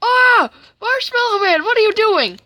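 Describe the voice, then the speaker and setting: A woman's voice: high-pitched, lively intonation, brisk, pushed and bright, no echo, pitched above the performer's own voice. A woman voicing a scared, reproachful jack-o'-lantern pleading with a friend in a made-up story. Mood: alarmed. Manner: worried, reproachful.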